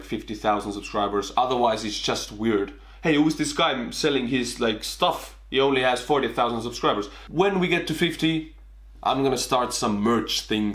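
A man's voice: mid-range voice, reverberant. A young man in his twenties with a thick Estonian accent, chatting animatedly to camera in a casual vlog from his bedroom. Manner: animated, big hand gestures, bursts of excitement. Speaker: YouTuber. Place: small bedroom indoors. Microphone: camera on desk.

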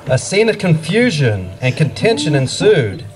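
A man's voice: fairly high pitch, very animated, unhurried. A middle-aged man reading scripted scripture narration into a handheld microphone at an outdoor pageant. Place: outdoor wooded stage. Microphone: handheld microphone through PA. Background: music playing.

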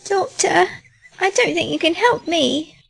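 A woman's voice: very high-pitched, a little slow, creaky, a bit bright, full character voice, rough recording. A woman playing a timid, plaintive ten-year-old girl in an audio drama, with a small childlike delivery. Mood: wary, sad.

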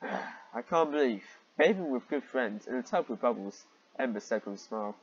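A man's voice: mid-range voice, lively intonation, moderate pace, slightly rough, dull audio. A man reading fan fiction aloud and commenting on it in a sarcastic, exasperated, sweary manner.

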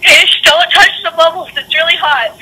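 A woman's voice: very high voice, normal speed, sharp tone. A young woman chatting casually over a phone call, giving a friend simple cooking advice. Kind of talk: casual chat. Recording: noisy phone call audio.

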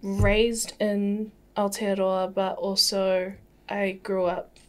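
A woman's voice: medium pitch, completely flat delivery, takes it slowly. A woman from New Zealand hosting a podcast in a small studio, supportive and sharing personal reflections. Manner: supportive, frequent soft agreement sounds, personal reflection. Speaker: podcast host. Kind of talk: casual studio conversation. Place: small studio with plants and shelves. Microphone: podcast mic on a boom arm.

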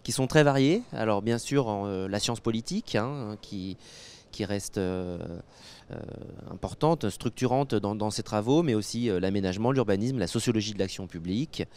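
Low-pitched male, French accent, resonant, academic interview guest, measured, halting, handheld mic. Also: a little mellow, clear, resonant tone. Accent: French from France. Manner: hesitant, measured, with frequent pauses and repeated words. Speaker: guest expert, academic geographer. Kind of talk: interview answers, analytical explanation. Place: indoor interview set at a convention. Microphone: handheld microphone.

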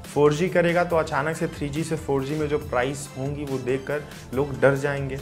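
A man's voice: fairly high pitch, flat delivery, normal speed, faint music behind. Upbeat young man presenting tech news. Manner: upbeat direct-to-camera presenting. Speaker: tech channel host. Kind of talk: scripted tech news explainer. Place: indoor home studio with lit gaming setup. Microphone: lapel mic.

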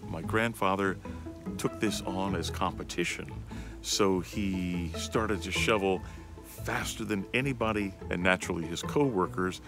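Man, interview, very deep voice, anecdotal, music underneath. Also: a little slow. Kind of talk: interview, storytelling.

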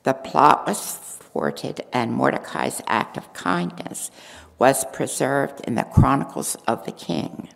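Queen Esther tells her own story with quiet resolve, as an older woman reads her part from a lectern. The voice sits low and gravelly, unhurried, and the words ring on in a long church reverb. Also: very animated, full character voice. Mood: earnest. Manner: reads steadily from a script, earnest. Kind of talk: first-person dramatic monologue read in church. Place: large church sanctuary. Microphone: stand mic at a lectern.

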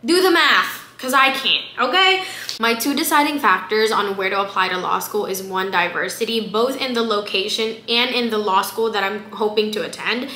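Bright-voiced expressive young American woman. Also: medium pitch, normal speed, a little hiss or hum. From South Florida, immigrant from the Philippines. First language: a language other than English. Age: 20s. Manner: expressive, slangy, emphatic. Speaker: vlogger. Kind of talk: casual chat to camera. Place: small bare room indoors. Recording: echoey room.

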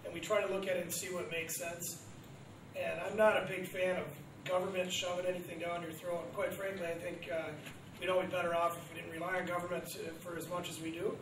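A male council member speaks his mind in a blunt, wry way. His voice runs high for a man. The recording is distant, with echo and noise. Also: little pitch movement, fast talker. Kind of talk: public meeting discussion. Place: council chamber. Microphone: far room camera. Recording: echoey room from far off with background noise.

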